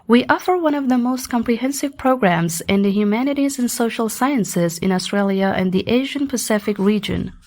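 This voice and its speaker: A woman's voice: on the high side, normal speed, hardly any pauses, darkish tone. A woman reading formal passages aloud as scripted narration. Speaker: pronunciation practice narrator.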